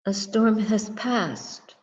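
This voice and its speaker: A woman leads a meditative dharma reflection at an extremely slow pace. Her voice is dark and soft-edged, and she speaks in short, measured phrases separated by long pauses. The recording carries a long, hall-like reverb. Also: mid-range voice, dull audio. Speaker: Buddhist nun and meditation teacher. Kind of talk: guided meditation and dharma talk.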